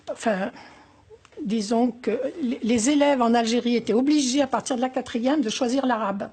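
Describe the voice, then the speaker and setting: An older woman from Algeria speaks in a slightly high voice, telling her memories slowly and thoughtfully. She hesitates often and fills the gaps with small sounds. Her tone stays wistful and reflective. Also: a little mellow, slightly rough. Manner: hesitant, many pauses and fillers. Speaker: interviewee. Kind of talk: oral history interview.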